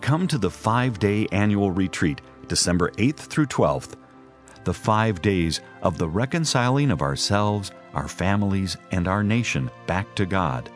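A man with a very low voice delivers a scripted religious promo as an announcer. Soft music runs faintly underneath. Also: animated, measured pace.

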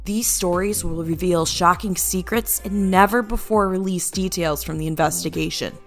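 A woman's voice: on the low side, normal speed, soft background music. A woman hosting a true crime podcast, introducing stories and interviewing guests.

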